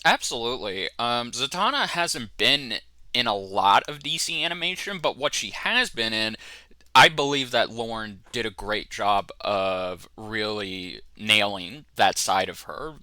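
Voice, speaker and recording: A man with a fairly deep voice that is very bright and punchy. He talks casually and keenly, like a co-host of a fan review show. The sound is dry, with little room around it. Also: lively intonation, a little slow, dry recording.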